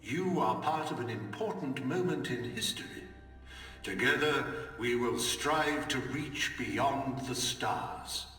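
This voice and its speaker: A man voicing an automated facility greeting system in a game, with a measured, formal announcer delivery. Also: medium pitch, bright, forceful tone, echoey room, big-room sound, far from the mic, faint music behind.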